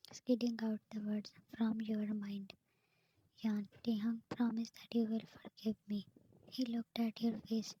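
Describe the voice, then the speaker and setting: A woman's voice: fairly high pitch, a little hiss or hum, rough recording. A woman whispering her way through a second-person fan fiction story, reading the dialogue along with who speaks. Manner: soft steady whisper, reads dialogue with speaker tags. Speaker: narrator.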